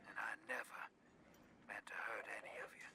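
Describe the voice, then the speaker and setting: A man's voice: brisk, sharp tone, whispered. A man playing a folksy, roguish drifter in a video game, speaking in a weary, confiding, colloquial way. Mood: confiding, grave. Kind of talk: scripted video game monologue.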